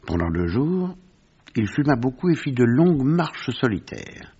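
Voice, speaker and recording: An actor playing a gentleman narrator who recounts a detective mystery in formal, vivid French radio storytelling with a husky voice. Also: mid-range voice, very animated, a little slow, lo-fi sound, full character voice. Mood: narrating, suspenseful.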